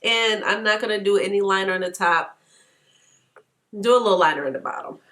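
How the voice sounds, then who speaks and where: A woman's voice: medium pitch, brisk, a bit bright. A congested woman with a stuffy nose, chatting casually through a makeup tutorial and laughing often. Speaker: beauty vlogger.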